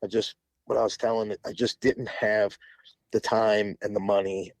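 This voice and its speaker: A man's voice: lowish voice, little pitch movement, moderate pace, dry recording. A man in his forties, a podcast guest telling long stories in a rambling, casual way with frequent restarts. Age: 40s. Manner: rambling, restarts often, laughs between phrases. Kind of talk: casual interview storytelling.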